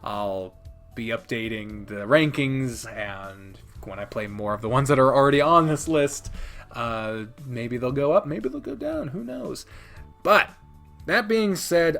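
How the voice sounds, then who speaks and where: A man giving a casual, unscripted video review as host, speaking emphatically and theatrically with dramatic pauses. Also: medium pitch, fairly bright tone. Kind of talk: casual scripted-free game review commentary.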